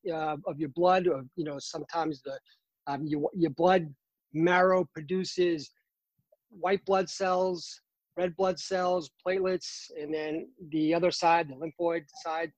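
A man in his fifties with a Boston accent, a guest in a casual interview, talking earnestly with many pauses and fillers. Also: high-pitched, a little mellow, no echo, dull audio. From Boston, Massachusetts. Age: 50s. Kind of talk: casual interview over a call.

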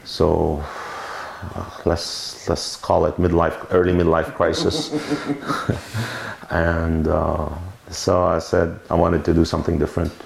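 A man's voice: low-pitched, level tone, slow pace, creaky, crowd noise. A man, telling his story in a rambling, self-correcting way in an oral history interview at a winery. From Lebanon. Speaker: winemaker interviewee. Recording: roomy recording with faint noise.

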